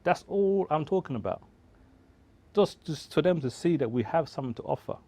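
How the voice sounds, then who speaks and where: A man's voice: a little high, expressive, brisk, dark tone, no room sound. A man talking as a guest in a casual studio discussion, emphatic and fond of rhetorical questions, joking now and then. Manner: emphatic, asks rhetorical questions, jokes and laughs.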